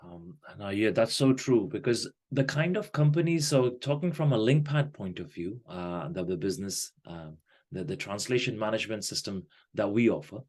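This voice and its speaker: A man's voice: mid-range voice, darkish tone. A man hosting a podcast interview, asking long, winding questions with fillers and restarts. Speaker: podcast host.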